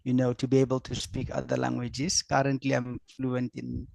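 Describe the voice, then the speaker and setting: A middle-aged man from South Africa with a South African accent whose first language is Afrikaans, answering as a business coach on an online panel, halting with fillers and restarts. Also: mid-range voice, completely flat delivery, creaky, darkish tone, no echo. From South Africa, Free State. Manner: halting, many fillers and restarts, laughs easily.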